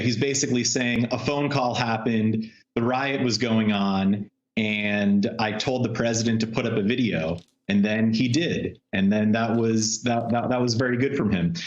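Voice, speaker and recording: An American man, a political reporter, giving informal live analysis in a loose, conversational way over a remote link from a room. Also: lowish voice. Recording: roomy, dull video call sound.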